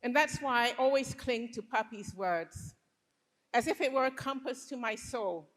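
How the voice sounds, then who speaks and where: A woman's voice: fairly high pitch, normal speed, a bit bright. A woman from Liberia telling a true story to a live audience, reflective and earnest. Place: stage before a live audience. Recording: long hall reverb on stage.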